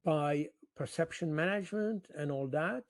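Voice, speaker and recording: Male analyst, slightly high voice, very slow and deliberate, unscripted interview answers. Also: dry recording, studio quality. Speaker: political analyst, interview guest. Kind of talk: spontaneous interview commentary.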